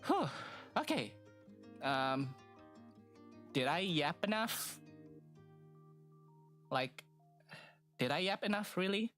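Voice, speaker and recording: A woman's voice: fairly low pitch, sing-song, takes it slowly, long pauses, a bit bright, faint music behind. A woman streamer with a stuffy nose chatting casually and rambling, with lots of fillers and hesitations. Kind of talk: casual live-stream chat.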